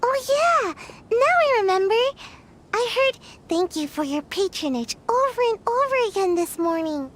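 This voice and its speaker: A woman playing an excitable, naive little girl in an English-language video game. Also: very high-pitched, moderate pace. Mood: excited. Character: excitable childlike little girl, naive, eager and sleepy-headed. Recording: game music clearly playing behind the voice. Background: video game music.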